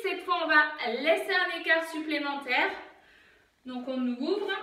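A young woman leading a home workout, calmly counting reps and cueing breaths as she exercises in an attic room, recorded on a phone set far back. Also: very high voice, very echoey. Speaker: fitness instructor. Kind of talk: guided home workout instruction. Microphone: phone camera from a distance.

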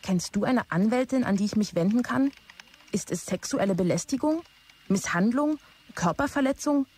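A woman playing an aggrieved office assistant in a radio drama, confiding in a matter-of-fact way with a husky voice. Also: a little high, lively intonation, normal speed, darkish tone, slight background noise, natural voice.

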